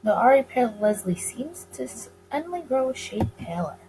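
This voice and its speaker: A woman's voice: fairly high pitch, very animated, normal speed, warm tone, soft background music, low-quality recording. A woman doing a let's play, narrating story text aloud and stumbling over the odd word. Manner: stumbles over words and rereads lines. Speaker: gamer reading a visual novel aloud. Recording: clear background noise.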